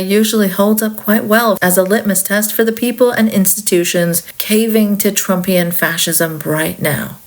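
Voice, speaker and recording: A woman from Saudi Arabia hosting a podcast, giving a reflective political monologue in a candid, conversational way with wry asides. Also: medium pitch. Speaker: podcast host.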